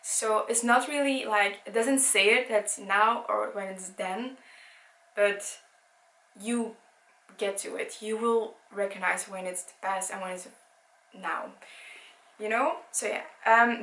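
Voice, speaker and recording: A woman's voice: fairly high pitch, a bit bright. Chatty young woman in an echoey room. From Belgium. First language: Dutch. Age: young adult. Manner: chatty, rambling, many restarts. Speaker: book vlogger. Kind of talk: casual book review.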